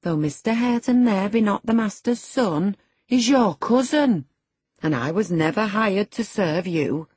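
A woman playing a firm, husky-voiced servant woman. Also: medium pitch, expressive, measured pace, a little mellow, no echo, voice pushed up. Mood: firm reproof. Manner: reproving, firm. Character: blunt, reproving female servant, unawed and civil. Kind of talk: dramatised audiobook character.

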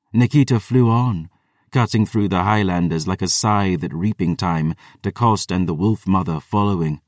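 A man's voice: low voice, no echo, clean studio sound, recorded from a distance. A man voicing a proud, battle-hardened female vampire warrior, telling of battle in an intense, grave, theatrical way. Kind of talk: dramatic first-person storytelling.

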